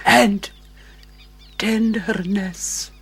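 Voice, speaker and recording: An actress playing a scheming, seductive señora in a radio drama, with a coquettish, theatrical manner. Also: female voice, medium pitch, fairly even intonation, very slow, gravelly, slightly rough, heavily acted. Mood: wounded, coaxing. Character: seductive, scheming, theatrical Latin American judge's wife.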